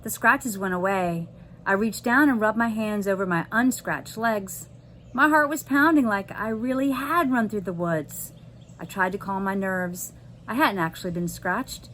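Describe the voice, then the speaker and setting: A woman narrates a children's chapter book with expression and drama. Her pitch sits in a middle range, and noticeable noise runs behind the voice. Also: measured pace, fairly bright tone. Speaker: teacher reading aloud.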